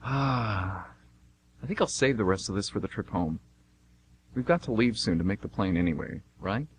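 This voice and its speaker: A man's voice: on the low side, expressive, moderate pace, slight background noise. A man playing an easygoing, chatty, naive American tourist in an amateur radio play, talking informally. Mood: content. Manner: informal, breezy.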